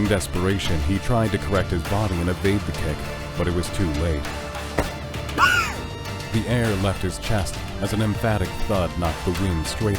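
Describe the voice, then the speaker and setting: A man narrating a scripted fantasy story as the narrator of an audio drama. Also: sits low. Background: loud music.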